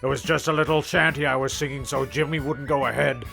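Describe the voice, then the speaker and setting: A man's voice: mid-range voice, fairly even intonation, quick pace, creaky, sharp tone. A man playing a grumpy, touchy, rum-drinking narrator who gripes and swears in a comedy radio play. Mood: defensive. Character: grumpy rum-drinking narrator, shanty-singing old salt. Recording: music playing behind the voice.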